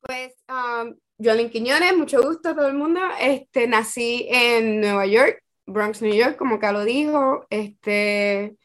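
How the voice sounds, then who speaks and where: A woman's voice: fairly high pitch, slow pace, hardly any pauses. A woman with a Puerto Rican accent, a podcast guest casually telling personal stories. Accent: Puerto Rican Spanish. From Puerto Rico. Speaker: podcast guest, army officer.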